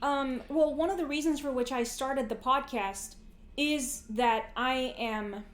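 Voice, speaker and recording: A woman's voice: sits high, unhurried. A woman in her forties hosting a podcast, talking casually and philosophically, often rephrasing mid-thought in a rambling way. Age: 40s. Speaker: podcast host. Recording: roomy, echoey sound.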